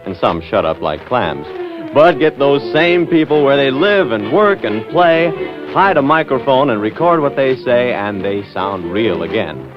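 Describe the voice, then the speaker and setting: A man's voice: mid-range voice, sing-song, a little slow, over music. An American man hosting and narrating a scripted 1940s radio program. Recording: dull old 1940s radio recording.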